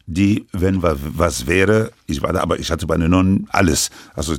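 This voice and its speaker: Older male interviewee, French first language, deep husky voice, dry close sound, halting delivery. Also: dry recording. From Lebanon. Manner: halting, with many restarts and broken grammar. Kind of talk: recounting his life story.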